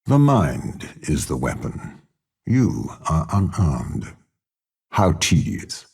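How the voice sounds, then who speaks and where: An actor playing a ruthless, imperious older warlord bound to a demon in a video game, with a rasping voice and a menacing, haughty delivery. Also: male voice, wildly swooping pitch, a little mellow, heavily acted. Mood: calm disdain. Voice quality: very deep, gravelly, rasping. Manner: slow, measured, menacing, haughty. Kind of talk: dramatic video game character voice lines.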